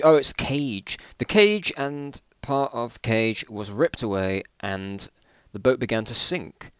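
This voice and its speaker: Creaky-voiced man doing a casual dramatic reading. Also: mid-range voice, a bit bright, dry recording. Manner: self-mocking, bemused asides while reading. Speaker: narrator reading own old school stories.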